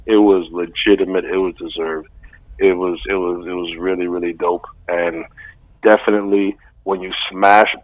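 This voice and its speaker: Man, very deep voice, slangy laid-back chat, tinny phone-like sound, dry. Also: little pitch movement, normal speed, no echo. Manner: laid-back slangy talk, laughs often. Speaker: podcast co-host. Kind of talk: casual chat.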